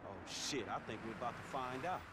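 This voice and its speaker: An actor playing a streetwise young American sidekick in a video game, slangy, profane and incredulous. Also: male voice, medium pitch, fairly quick, heavy background noise, close to an everyday voice. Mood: wry. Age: young adult.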